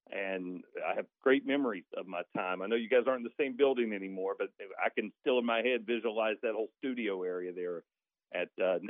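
A man's voice: fairly low pitch, dry recording. A man who works as a sports announcer, chatting casually as a radio guest over a phone call. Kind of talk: casual chat, reminiscing. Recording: narrow tinny phone line, dry.

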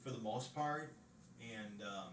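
A co-host in casual conversation, trading dry jokes and now and then slipping into silly voices. The voice sits in a roomy, echoey space with clear background noise. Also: mid-range voice, slow pace. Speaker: podcast co-host. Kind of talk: casual chat.

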